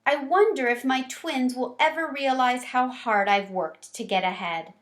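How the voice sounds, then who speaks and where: A hardworking night janitor from a storybook , read by a woman, sincere and exclamatory. The delivery is unhurried and sits fairly high. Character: hardworking warm male night janitor, studying to teach.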